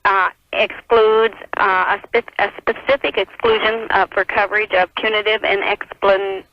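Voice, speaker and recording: A woman's voice: mid-range voice, flat delivery, moderate pace. An American woman chatting casually over the telephone as a caller to a radio advice show. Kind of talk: casual phone conversation. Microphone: cheap telephone handset. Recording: muffled telephone line on radio.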